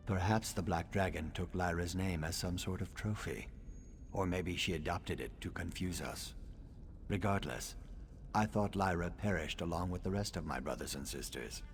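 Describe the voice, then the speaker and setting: An actor playing a scholarly reptilian assassin in a video game, speaking in a measured, formal, dryly sardonic way. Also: male voice, low voice, soft background music. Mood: pensive. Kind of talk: video game dialogue acting.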